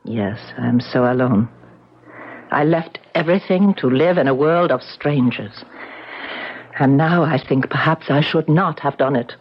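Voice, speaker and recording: An actress playing a young, fragile yet imperious ballerina with a Russian accent in a 1970s radio drama. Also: female voice, extremely low pitch, unhurried, breathy edge, heavily acted. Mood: wistful. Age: young adult. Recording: muffled 1970s radio broadcast recording.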